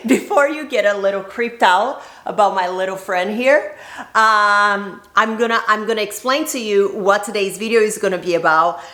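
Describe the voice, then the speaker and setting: A woman's voice: mid-range voice, bright, forceful tone. A woman from Brazil telling a personal story to camera in a chatty, laughing way. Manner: chatty, laughs often, playful quoted voices. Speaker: vlogger. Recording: echoey room.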